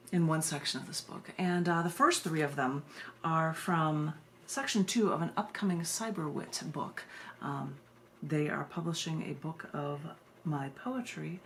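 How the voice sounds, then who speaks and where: Low-pitched, gravelly woman poet. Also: a little hiss or hum. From United States. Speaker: poet reading her own work. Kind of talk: informal introductions and expressive poetry reading.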